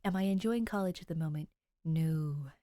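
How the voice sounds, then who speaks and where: A woman's voice: deep voice, normal speed, mellow, no room sound. A young adult woman reading fiction aloud and chatting informally as host of her reading channel. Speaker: podfic reader and channel host. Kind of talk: story narration and chatty sign-off.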